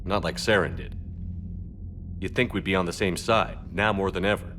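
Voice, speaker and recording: An actor playing a resolute human military commander in a video game, speaking in a firm, measured way. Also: male voice, sits low, music in the background, lightly acted. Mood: businesslike. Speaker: player character military commander.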